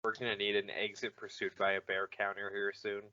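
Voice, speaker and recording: A man co-hosting a casual podcast, halting and correcting himself as he goes. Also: on the low side, level tone, sharp tone, lo-fi sound. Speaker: podcast co-host. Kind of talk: casual chat.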